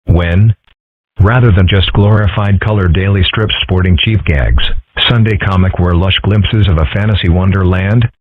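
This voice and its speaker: Deep husky man in a dry comic dub. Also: normal speed. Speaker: voice actor doing several characters. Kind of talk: fan comic dub reading. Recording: dry phone-like audio.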